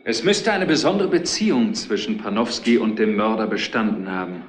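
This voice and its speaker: A man's voice: fairly low pitch, animated, moderate pace, natural voice. Methodical detective's assistant played by a man, roomy sound. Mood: reasoning. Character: male detective assistant, methodical and matter-of-fact. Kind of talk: retro German crime radio play acting. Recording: roomy, faintly noisy retro radio play.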